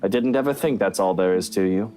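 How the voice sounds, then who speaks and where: A woman voicing a gruff, guarded man in a visual novel, curt and sardonic, irritable but secretly caring. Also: extremely low pitch, quick pace, dark tone, voice pushed down. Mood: gruff, sincere. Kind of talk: visual novel character dialogue. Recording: music playing behind the voice.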